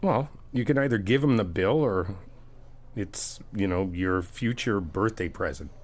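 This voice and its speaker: A man's voice: on the low side, a little slow. A man doing a friendly ASMR roleplay, chatty and teasing, reassuring the listener as he helps out. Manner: reassuring, helpful.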